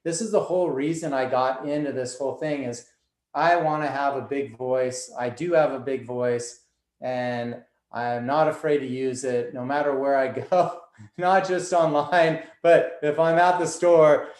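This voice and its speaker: A man's voice: medium pitch, fairly even intonation, unhurried, darkish tone, roomy sound. A man as a podcast guest, talking earnestly and loosely, with lots of fillers. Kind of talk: casual conversation.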